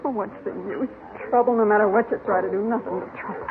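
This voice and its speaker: A woman's voice: medium pitch, fairly even intonation, fast talker, lightly acted. An actress playing a frightened, evasive mother questioned by police, weary and terse, in 1950s radio drama style. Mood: scared, despairing. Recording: noisy, narrowband old radio recording.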